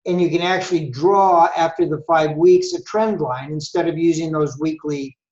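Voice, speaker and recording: A man presenting an explanatory webinar lecture on a trading system, speaking in a measured, deliberate way with frequent pauses. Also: on the high side, fairly even intonation, darkish tone. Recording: muffled, roomy webinar audio.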